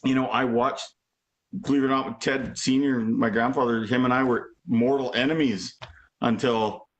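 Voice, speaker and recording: A man's voice: mid-range voice, steady tone, moderate pace, poor audio. A man in his forties, a guest drummer chatting casually, rambling with lots of "you know" and "like". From Alberta, Canada. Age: 40s. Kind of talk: casual chat. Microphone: video call.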